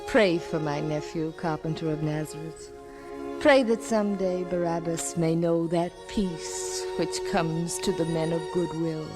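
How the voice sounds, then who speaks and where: A woman acting a tender, reverent innkeeper's wife and worried aunt in an old-time radio drama, hushed and full of wonder. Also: deep voice, steady tone, unhurried. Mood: pleading. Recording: vintage radio broadcast, music behind.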